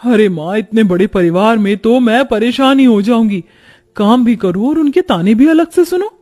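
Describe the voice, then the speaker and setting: A man's voice: high-pitched, expressive, moderate pace, darkish tone. A man voicing a young new bride, plaintive and dutiful, in a cartoon family story. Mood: dismayed. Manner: plaintive grumbling.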